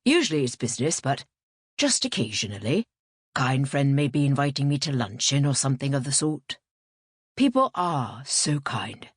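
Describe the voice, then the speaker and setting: A woman's voice: extremely low pitch, big pitch swings, moderate pace, breathy edge, no echo, clean studio sound, pitched below the performer's own voice, heavily acted. A woman voicing a genial, self-assured and slightly pompous male priest in an audiobook, with leisurely, unctuous emphasis. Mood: genial, complacent. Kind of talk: audiobook character voice.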